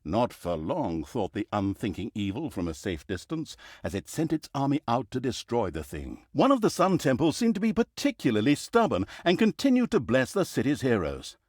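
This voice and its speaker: A man's voice: medium pitch, huge pitch swings, normal speed, hardly any pauses, a little mellow, no room sound, studio quality. A man playing a sardonic, theatrical storyteller who wryly narrates a fantasy video game. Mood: wry storytelling. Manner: theatrical, wry, sarcastic. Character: sardonic, theatrical male storyteller mocking heroes and scolding the player.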